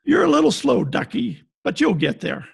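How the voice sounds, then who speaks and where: Casting: male actor as cynical private eye, high-set voice full of gravel, wry and tough, old radio play over a video call. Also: normal speed, heavily acted. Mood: cold, hard bargaining. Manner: wry, deadpan, tough-talking. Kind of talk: 1940s detective radio acting, narration and dialogue. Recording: video call recording with faint music behind.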